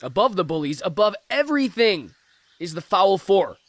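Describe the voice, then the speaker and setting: Smug, taunting schoolyard bully. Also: male voice, high-pitched, very animated, moderate pace, fairly bright tone, no echo, heavily acted. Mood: scornful, boastful. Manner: taunting, theatrical. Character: boy bully, smug, scornful, self-important. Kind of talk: children's audio drama acting.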